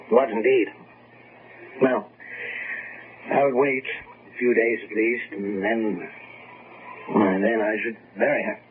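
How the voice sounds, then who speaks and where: A man playing a skeptical, world-weary family physician in a radio drama, talking in a measured, deliberate way with pauses. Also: lowish voice, fairly even intonation. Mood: grave, dry. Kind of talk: old-fashioned radio drama acting. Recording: muffled phone-like radio, faint noise.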